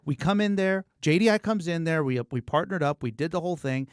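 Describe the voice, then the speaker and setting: A man talking as a business coach in an animated conversation, informal and emphatic, repeating words for effect and acting out imagined quotes. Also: a little high, a little fast, smooth, no echo, clean studio sound. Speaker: car dealership service coach.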